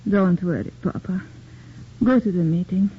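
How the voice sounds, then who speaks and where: An actress playing a grieving young widow, quiet and firm, in 1940s radio acting. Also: female voice, lowish voice, normal speed, breathy edge. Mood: gentle. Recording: tinny old radio recording.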